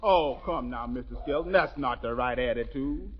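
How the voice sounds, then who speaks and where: A man's voice: medium pitch, normal speed, crowd noise. A man playing a prim, formal straight man in a 1940s radio comedy. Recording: dull 1940s radio broadcast.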